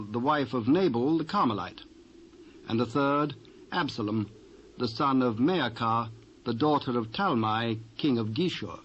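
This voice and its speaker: A British man narrating dramatised scripture in a measured, formal storytelling manner. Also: medium pitch, fairly bright tone. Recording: dull, hissy old cassette transfer.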